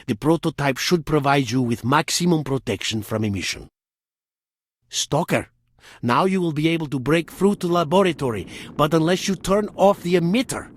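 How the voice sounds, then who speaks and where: A man playing an earnest, formal scientist in a video game, speaking in a measured, explanatory way. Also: a little high, dry recording. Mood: businesslike. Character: male scientist, earnest, urgent, formal lab researcher.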